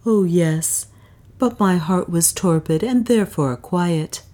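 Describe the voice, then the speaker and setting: A woman's voice: on the low side, slow, warm tone. A woman reading a story, voicing an anguished, tender young woman who pleads in impassioned, archaic speech. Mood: sorrowful, tender. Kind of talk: dramatic audiobook storytelling.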